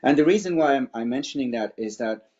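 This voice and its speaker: A man's voice: mid-range voice, lively intonation, quick pace, darkish tone, muffled recording. A middle-aged man from France with a French accent, giving a webinar presentation in a hesitant way, with many fillers and restarts.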